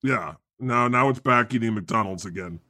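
A man with a bright, forward voice riffs through comedic news banter, teasing his co-hosts and breaking into laughter. The sound is dry and close, with no room around it. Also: medium pitch, moderate pace, no echo. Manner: playful, teasing, laughs often. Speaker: comedy podcast host.

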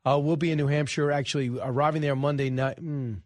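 A man's voice: a little high, little pitch movement, fast, no room sound, studio quality. An American man hosting live talk radio, giving rambling, conversational commentary and correcting himself as he goes. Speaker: radio show host. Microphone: close studio microphone.

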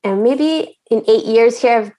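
A woman's voice: fairly high pitch, unhurried, warm tone. A woman from Canada chatting as a podcast guest, laughing often and restarting her phrases as she talks. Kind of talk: casual chat.

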